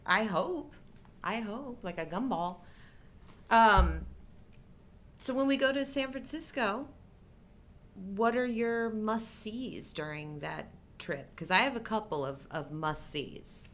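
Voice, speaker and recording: A woman's voice: medium pitch, takes it slowly, fairly bright tone. A middle-aged woman co-hosting a live show in casual, playful, agreeable banter.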